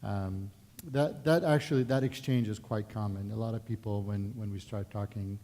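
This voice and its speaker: A middle-aged man from Palestine with a Palestinian Arabic accent, sharing his personal story in a relaxed, conversational way with many fillers and restarts during a church service. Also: lowish voice, normal speed. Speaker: guest speaker. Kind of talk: personal testimony at a worship service. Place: church sanctuary. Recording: long church reverb.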